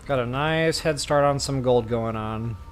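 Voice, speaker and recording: A man's voice: medium pitch, a little slow, a little hiss or hum. A man hosting a video game walkthrough, giving casual commentary as he plays. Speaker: gaming walkthrough host. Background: game music.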